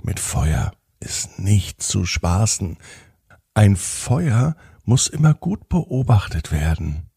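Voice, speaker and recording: A man with a husky, gravelly voice gently narrating a children's bedtime story in a soothing manner. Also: lowish voice, big pitch swings, takes it slowly, dry recording, clean studio sound.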